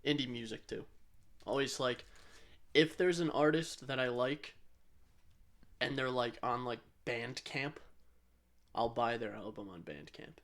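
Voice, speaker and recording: A man's voice: medium pitch, measured pace, pauses often, a bit bright. A man co-hosting a podcast in casual banter, rambling and swearing freely with a self-deprecating streak. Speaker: podcast co-host.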